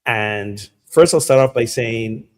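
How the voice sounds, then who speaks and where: A man from Barbados, a minister giving a relaxed, conversational sermon full of fillers over a video call. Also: mid-range voice, slow. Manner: relaxed and chatty, many fillers. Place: home abroad.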